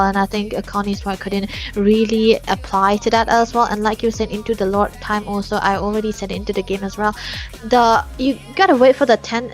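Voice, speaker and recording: A woman casting a live esports match, breaking down plays and draft picks in detail. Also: mid-range voice. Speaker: esports caster.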